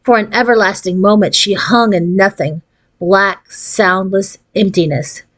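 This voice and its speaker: A woman reading a children's storybook aloud in an expressive, narrating manner. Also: mid-range voice, a little slow. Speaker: audiobook reader.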